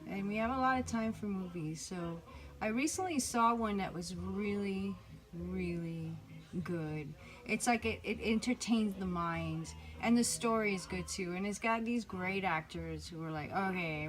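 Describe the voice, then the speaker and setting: A woman's voice: lowish voice, a little slow, poor audio. A woman from Miami co-hosting a podcast, chatting casually, swearing freely and drifting into asides. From Miami, Florida. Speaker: podcast co-host. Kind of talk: casual chat.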